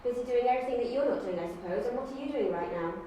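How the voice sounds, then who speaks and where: A woman's voice: fairly high pitch, lively intonation, racing, mellow, voice pushed up, natural voice. Indignant, loyal female councillor, played by a woman, improvised drama, roomy noisy recording. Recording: distant, very echoey room with background noise.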